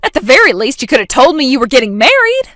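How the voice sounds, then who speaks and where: A shrill, whiny young woman in a storybook chat drama, high-pitched and always on the edge of panic, pleading and flaring up by turns, as a woman puts her on. The voice is close and dry, with faint music behind. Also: big pitch swings, fast talker, a bit bright, no room sound. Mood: outraged. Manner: whiny, pleading, easily outraged. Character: brash panicky young woman caught cheating.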